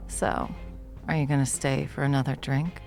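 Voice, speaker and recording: Casting: actress as confident, teasing female bartender for ASMR roleplay. Very deep, creaky voice, intimate delivery. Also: level tone, soft background music. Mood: playful, teasing. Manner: teasing, unhurried, soft chuckles, dropping toward whispers. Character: confident, flirtatious, protective hot female bartender. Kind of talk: ASMR roleplay, intimate one-sided conversation.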